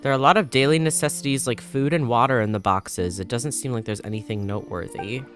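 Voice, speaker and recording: A man hosting a let's play, reading game text aloud and reacting in a casual, animated manner. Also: mid-range voice, fast. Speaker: gaming video host. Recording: faint music behind.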